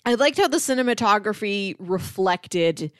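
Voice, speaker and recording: A woman's voice: medium pitch, animated, normal speed, no room sound, clean studio sound. A woman talking casually about a film as a podcast co-host.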